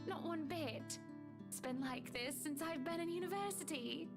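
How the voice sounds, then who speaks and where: A pampered, lively rich wife, as a woman puts her on, high-voiced and soft. She talks in a breathy, genteel drawl. Faint game music plays beneath her. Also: normal speed. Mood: airy. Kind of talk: game character voicing.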